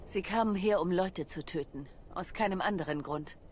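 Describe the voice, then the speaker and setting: An actress as a steady female hero in a game cutscene. Her voice is slightly low, composed and firm, over faint noise. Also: moderate pace, natural voice. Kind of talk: dubbed video game cutscene acting.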